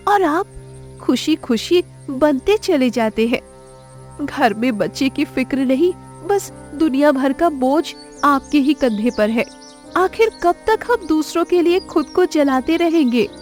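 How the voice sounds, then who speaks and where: A woman playing a worried, reproachful village wife in a cartoon moral story, complaining with emphasis. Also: high-pitched. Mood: bitter, reproachful. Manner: slow, complaining, emphatic delivery.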